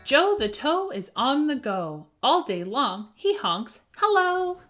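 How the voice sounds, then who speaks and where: A woman reading a children's picture book aloud with expressive, rhythmic phrasing and dramatic emphasis for young listeners. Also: sits high, takes it slowly, faint music behind. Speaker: children's story reader.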